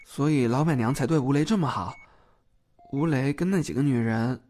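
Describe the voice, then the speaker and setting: A man's voice: mid-range voice, moderate pace, a little mellow, natural voice. A man acting a thoughtful, questioning colleague of an investigator in a Chinese audio drama. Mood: reflective.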